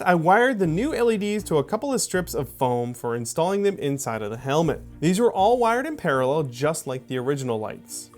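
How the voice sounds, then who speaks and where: A man narrates a hands-on tutorial as its host. His delivery is casual and upbeat, with very animated intonation, and light music plays behind him. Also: fairly high pitch. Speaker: channel host and costume maker. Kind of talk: friendly scripted tutorial narration.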